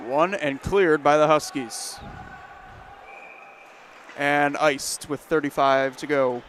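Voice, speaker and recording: Brisk male hockey play-by-play announcer. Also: fairly high pitch, fairly even intonation, fairly bright tone, a little hiss or hum. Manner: brisk, names each pass as it happens. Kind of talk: live hockey commentary.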